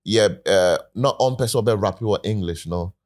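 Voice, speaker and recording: A man's voice: fairly low pitch, moderate pace, darkish tone. A man with a Ghanaian accent hosting a talk show, presenting stories in a casual, chatty way.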